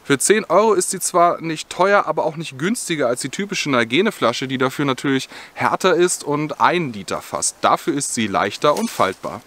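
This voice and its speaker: A man's voice: on the high side, lively intonation, normal speed, hardly any pauses, a bit bright. A young man hosting an outdoor gear vlog, filmed outdoors in a forest, explaining products in a friendly, explanatory way. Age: young adult. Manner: friendly, explanatory, with scripted-sounding product details. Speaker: outdoor gear channel host.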